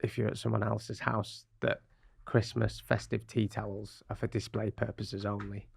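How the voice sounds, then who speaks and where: A man's voice: fairly low pitch, flat delivery, moderate pace, vocal fry, breathy edge. A man co-hosting a podcast, chatting in a blunt, teasing way. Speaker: podcast co-host. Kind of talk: casual chat.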